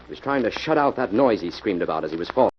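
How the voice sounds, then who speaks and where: An actor playing a brisk, determined investigator in an old radio mystery, decisive and giving orders. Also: male voice, on the low side, expressive, lightly acted. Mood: businesslike. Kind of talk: 1940s radio mystery acting. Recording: muffled, noisy old-time radio recording.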